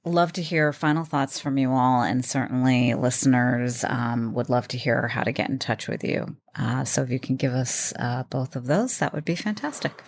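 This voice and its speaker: A woman's voice: very deep voice, normal speed, few pauses. A woman hosting a casual, conversational interview podcast. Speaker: podcast host.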